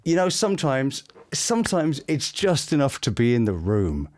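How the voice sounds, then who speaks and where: A middle-aged British man chats as a studio guest with a very lively, swooping delivery. He tells stories with open enthusiasm and lots of expression, at an average male pitch. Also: moderate pace. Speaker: voice actor interviewed as guest. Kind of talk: animated anecdotal interview chat. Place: small home studio room. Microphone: boom-mounted studio microphone.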